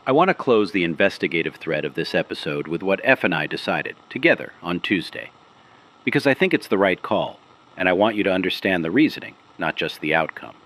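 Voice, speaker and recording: A man with a deep voice narrates a serialised investigative mystery. His delivery is grave and carefully phrased, with deliberate pauses and lively movement in his intonation. It sounds like a scripted podcast host laying out a case. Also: poor audio. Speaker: host of an investigative audio series.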